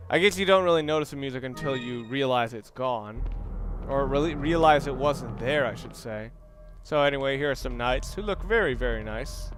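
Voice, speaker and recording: A man's voice: medium pitch, a bit bright. A man giving casual, offhand and somewhat rambling commentary while playing a video game. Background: video game music.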